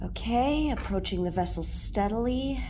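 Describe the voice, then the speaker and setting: A woman's voice: a little high, lively intonation, normal speed, background noise, close to an everyday voice. A woman playing a playful, teasing space explorer and ghost show host in an audio drama. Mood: wary, dry.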